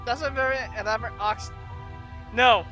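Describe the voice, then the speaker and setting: A game streamer giving casual, off-the-cuff commentary in a halting, self-correcting way. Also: mid-range voice, pushed and bright, soft background music.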